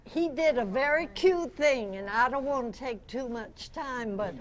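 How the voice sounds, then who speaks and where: Slow-talking woman in her nineties. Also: high voice. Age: 90s. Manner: chuckling, folksy, halting. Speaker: veteran radio actress, guest speaker. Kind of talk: reminiscing before a club audience. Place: club meeting room. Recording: noisy amateur meeting recording.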